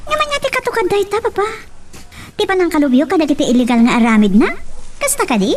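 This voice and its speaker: A woman with an Ilocano accent acting a spirited, expressive character in an audio drama, with probing, drawn-out questions. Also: very high-pitched. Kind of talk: Ilocano radio-style love drama acting. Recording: slightly distant mic, faint noise.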